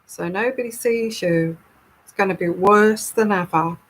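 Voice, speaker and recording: A woman with a calming, soothing voice, hosting a live tarot stream and chatting casually as she reads viewer comments. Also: medium pitch, poor audio. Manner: unhurried, chatty and rambling.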